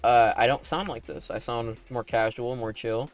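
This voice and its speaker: A man with a slightly raspy, deliberately deepened voice talks to his viewers in a loose, rambling way, full of likes and tag questions. His pitch sits in the middle range for a man. Faint music plays underneath. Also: fairly even intonation. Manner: talking in a put-on broadcast voice. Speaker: animator and streamer. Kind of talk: casual livestream chat while playing a game.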